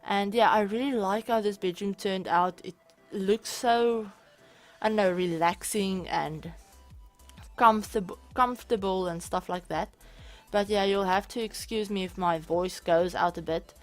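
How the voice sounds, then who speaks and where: A woman, a bit hoarse from being sick, talking casually and rambling over footage of a game build, often correcting herself mid-sentence. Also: on the low side. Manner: rambling, self-correcting, chatty. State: hoarse from illness. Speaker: channel host. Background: soft background music.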